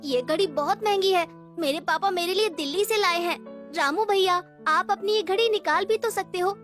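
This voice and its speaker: Woman voicing a very high, chatty child. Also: moderate pace, fairly bright tone, soft background music. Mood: fussy. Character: bright, bossy well-off children at play. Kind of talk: cartoon story character voice.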